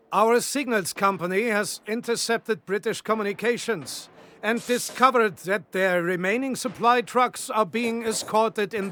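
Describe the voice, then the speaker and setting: An actor playing a shrewd, confident German panzer general in a game, with a German accent and a theatrical, measured, commanding manner. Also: male voice, high voice, fairly bright tone. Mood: sly, commanding. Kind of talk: dramatic video game military briefing.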